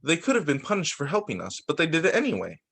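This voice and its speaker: A man's voice: on the high side, wildly swooping pitch, fast, creaky, a bit bright, slightly rough. A man reading a children's book aloud as a teacher, occasionally stumbling, repeating phrases and adding casual asides. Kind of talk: children's story time read-aloud.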